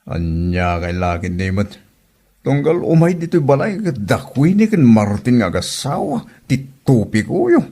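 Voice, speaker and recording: A man's voice: medium pitch, very animated, a little slow, dark tone. A man acting a grumbling, meddling older village father in an Ilocano comedy audio drama, with an Ilocano Filipino accent and emphatic delivery.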